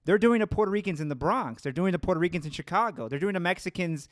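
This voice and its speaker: Higher-voiced man in casual conversation. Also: lively intonation, fast talker, no room sound, studio quality. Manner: seeks agreement with tag questions. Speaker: interviewer.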